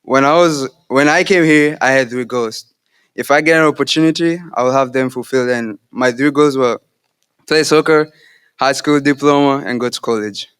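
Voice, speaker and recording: Earnest young man, smooth voice. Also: medium pitch, a bit bright. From Kenya. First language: Swahili. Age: young adult. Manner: earnest, devout, measured delivery. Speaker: graduate giving a speech. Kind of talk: graduation speech.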